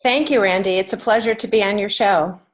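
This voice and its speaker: A woman's voice: medium pitch, brisk. A woman speaking as a guest in a conversational interview, explaining things earnestly. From United States. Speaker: podcast guest, children's author and creative writing teacher.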